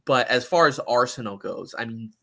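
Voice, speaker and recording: A man's voice: medium pitch, moderate pace, sharp tone. A man hosting a sports podcast, chatting casually about soccer and often repeating words as he thinks aloud.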